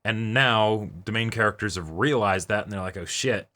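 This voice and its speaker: A man with a somewhat low, bright and forceful voice gives casual commentary as a co-host. He works through his points in a thoughtful, halting way, often checking that his listener agrees. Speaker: co-host of an anime reaction show.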